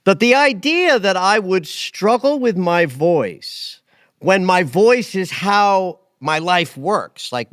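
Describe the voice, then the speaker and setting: Animated man in his late fifties, lively swings. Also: no room sound, studio quality. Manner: expressive, swings between emphatic highs and quiet asides. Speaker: astrology podcast host. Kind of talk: casual conversational chat.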